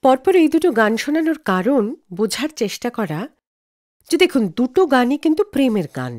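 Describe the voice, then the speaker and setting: Expressive woman radio host. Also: sits high, fairly quick. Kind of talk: scripted music radio presentation.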